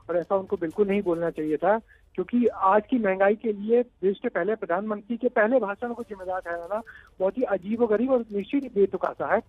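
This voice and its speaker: A male correspondent comes through a narrow phone-like connection with a dry, close sound. His voice is high-pitched, and he explains things off the cuff in a live news report, hesitating a little. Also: dry recording. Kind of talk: live unscripted report to anchor.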